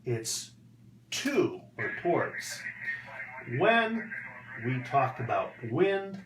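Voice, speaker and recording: Deliberate, slow-talking man in an echoey room. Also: medium pitch, sing-song. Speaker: English teacher. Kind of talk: instructional video lesson, explanatory. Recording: echoey room with background noise.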